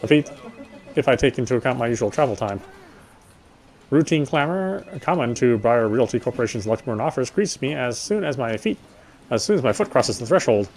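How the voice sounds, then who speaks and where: A man's voice: medium pitch, lively intonation, brisk, a little mellow. A man gaming and reading a visual novel's narration aloud, with casual asides. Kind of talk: let's play read-aloud with casual asides.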